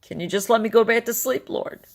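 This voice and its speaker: Gravelly older woman, tired and confiding. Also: a little fast. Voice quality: gravelly, deep, smoker-like morning voice. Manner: candid, rambling, confiding. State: tired and sleep-deprived. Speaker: vlogger. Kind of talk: personal video diary.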